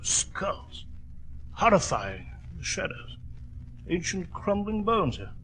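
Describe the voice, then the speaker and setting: An actor playing a loyal, easily astonished English detective's companion in an old-time radio drama, excitable and questioning. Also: male voice, sits high, takes it slowly, slight background noise, heavily acted, poor audio. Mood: uneasy.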